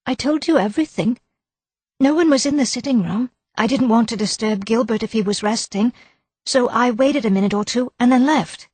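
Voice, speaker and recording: A woman voicing an anxious, defensive middle-aged wife and mother who talks in a flustered, high-strung way. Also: moderate pace, darkish tone, breathy edge, dry recording.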